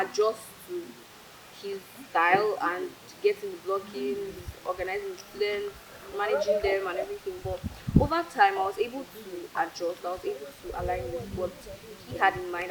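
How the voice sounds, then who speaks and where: Nigerian girl doing an interview, normal pitch, lots of people talking in background. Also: poor audio. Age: young adult. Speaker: student stage manager being interviewed. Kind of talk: informal interview answer. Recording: clear crowd chatter behind.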